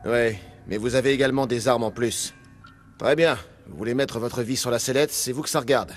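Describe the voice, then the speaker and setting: An actor playing a weary, gruff security captain in a video game, speaking in a blunt, dry, colloquial way. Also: male voice, mid-range voice, fairly even intonation, fairly quick, soft background music, close to an everyday voice. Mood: blunt, reluctant. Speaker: guard captain.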